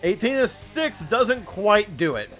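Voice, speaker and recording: Higher-pitched man running a tabletop game over music. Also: very animated, measured pace, a bit bright. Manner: casual, swearing, exasperated banter. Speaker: game master. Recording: music behind the voice.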